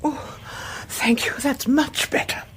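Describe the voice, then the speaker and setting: An English actress playing a frail, stout older neighbour in a radio drama, genteel, coy and gently needling. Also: female voice, high voice, fairly even intonation, slow pace, whispered. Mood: relieved, indulgent.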